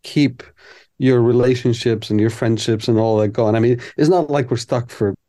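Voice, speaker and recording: A man chats candidly as an interview guest, working his thoughts out aloud. His voice sits in a middling register with a mellow, warm tone. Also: mid-range voice, fairly even intonation, moderate pace. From Iceland. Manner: candid, reflective, thinks aloud. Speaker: composer guest. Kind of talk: casual interview chat.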